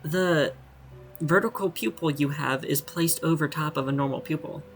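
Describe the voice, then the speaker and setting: A woman's voice: low-pitched, normal speed. A woman giving casual, informal playthrough commentary, musing aloud as a gaming streamer. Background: faint game music.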